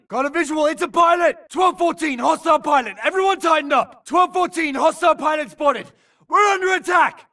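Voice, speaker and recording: An actor playing a tense futuristic infantry soldier in a video game, shouting clipped, urgent military callouts. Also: male voice, extremely high pitch, recorded from a distance, exaggerated voice.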